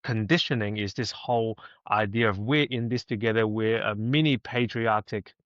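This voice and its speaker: A man's voice: lowish voice, normal speed, dry recording. A man from Hong Kong co-hosting a podcast, speaking emphatically and often checking in with "right?". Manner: animated, emphatic, lots of "right?". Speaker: podcast co-host. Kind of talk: casual podcast conversation. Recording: slightly dull audio.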